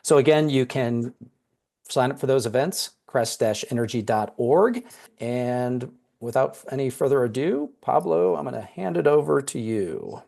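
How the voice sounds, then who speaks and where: A man's voice: mid-range voice, lively intonation, measured pace, vocal fry, mellow. Man, event host, casual introduction, frequent fillers. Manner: informal, with filler words. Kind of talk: introducing a speaker.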